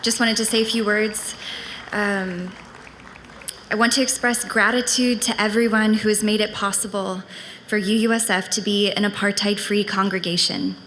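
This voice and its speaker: A woman giving measured, prepared remarks of gratitude to a congregation. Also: mid-range voice, fairly even intonation, a bit bright, long reverb tail, slight background noise, low-quality recording. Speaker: congregation member speaker. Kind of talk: prepared earnest speech. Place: congregation meeting hall.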